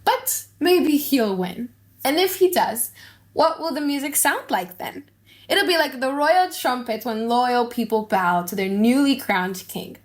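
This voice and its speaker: A young heiress in love performed by a woman reader, with a fairly high voice. She is anxious and playful, halting and sighing through tender speeches in a dramatised classic play. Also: animated. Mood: resolute, wistful.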